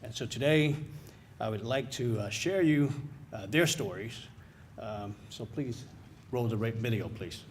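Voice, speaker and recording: A man's voice: medium pitch, noisy background. Nervous man giving a ceremony speech. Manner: nervous, halting with fillers. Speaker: guest speaker.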